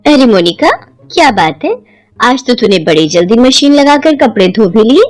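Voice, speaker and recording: A woman's voice: on the high side, animated, moderate pace, a little mellow, natural voice. A woman voicing a chummy, gossipy neighbour in a cartoon story, chatty and conspiratorial. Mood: curious. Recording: music behind the voice.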